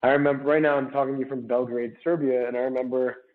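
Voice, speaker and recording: A man speaks over a narrow, phone-like connection. He sounds like a founder in an interview, explaining his product conversationally and punctuating points with short tag questions. His pitch sits in a middle range. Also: flat delivery, quick pace. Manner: talkative, checks in with tag questions. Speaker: startup founder being interviewed. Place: remote call. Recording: muffled, phone-like remote call audio.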